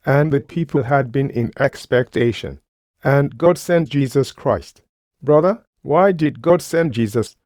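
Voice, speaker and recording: A man's voice: mid-range voice, darkish tone, dry recording, studio quality. A man preaching a sermon, speaking emphatically, repeating key phrases and putting rhetorical questions to his listeners.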